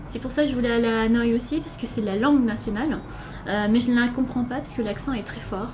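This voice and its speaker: Young woman, French accent, interviewee; fairly high, halting delivery, lots of fillers; noisy recording. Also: steady tone. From France. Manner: hesitant, many filler sounds. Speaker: interviewee, volunteer. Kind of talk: casual interview answers. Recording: noisy background hiss.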